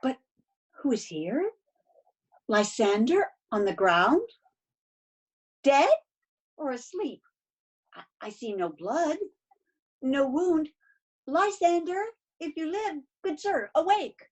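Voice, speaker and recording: A woman's voice: high-pitched, sing-song, slow pace, pauses often, heavily acted. A woman playing a desperate, self-pitying young Athenian woman in a stage verse read-through over a video call, plaintive and theatrical. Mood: worried.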